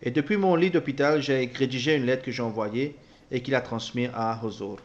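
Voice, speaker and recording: A man interpreting a personal testimony, speaking haltingly with repeated words and self-corrections. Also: mid-range voice, muffled recording. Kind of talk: spoken translation of a personal testimony.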